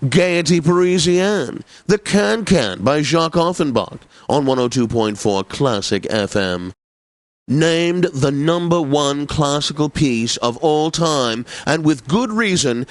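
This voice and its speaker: Pompous, slow-talking classical radio DJ. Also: male voice, medium pitch, dry recording. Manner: pompous, theatrical, unctuous, precisely enunciated. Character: pompous, effete male classical radio DJ, theatrically smug. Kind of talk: scripted classical radio announcing, video game.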